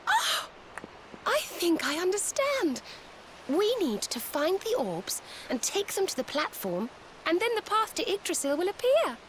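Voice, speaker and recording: An actress playing a gentle, devout young fantasy heroine in a video game, speaking politely and earnestly. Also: female voice, extremely high pitch, sing-song, measured pace, slight background noise, full character voice, low-quality recording. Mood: awed realisation. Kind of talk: video game cutscene acting.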